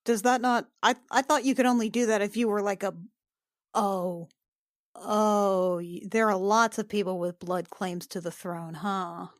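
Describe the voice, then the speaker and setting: A woman's voice: mid-range voice, steady tone, unhurried, no echo, clean studio sound. A woman chatting casually as a player in a tabletop roleplaying podcast. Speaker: podcast cast member and player.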